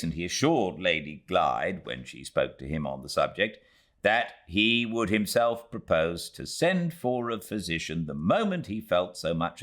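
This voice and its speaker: A man's voice: sits low, pushed and bright, full character voice. A British man reading as a prim, self-righteous widowed housekeeper, formally narrating her testimony in a classic novel.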